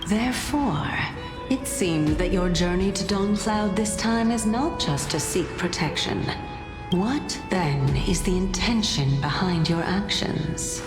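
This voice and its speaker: An actress playing an ancient, serenely amused titan spirit in a video game, teasing and probing in languid, formal phrases. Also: female voice, on the low side, animated, slow pace. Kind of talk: dramatic video game voice acting. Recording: long hall reverb with music underneath. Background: game music.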